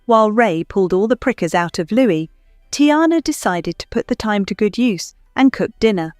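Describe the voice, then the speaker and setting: A woman reading a scripted children's story as narrator, in an expressive storytelling manner. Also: medium pitch, moderate pace, no echo, studio quality. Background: faint music.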